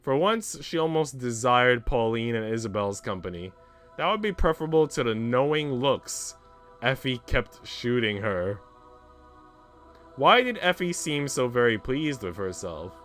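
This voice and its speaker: A man's voice: mid-range voice, animated, a little slow, a bit bright. A man streaming a visual novel, reading its text aloud and adding casual asides, with music playing behind him.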